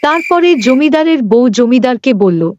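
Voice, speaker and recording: High-voiced woman narrating a cartoon story. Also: expressive, unhurried, a little mellow, lo-fi sound, slight background noise.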